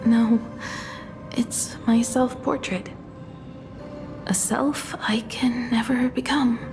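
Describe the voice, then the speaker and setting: A woman voicing a wistful, strong-willed young female painter in a video game, often in a soft, breathy murmur. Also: on the high side, steady tone, slow, reverberant, big-room sound, music in the background.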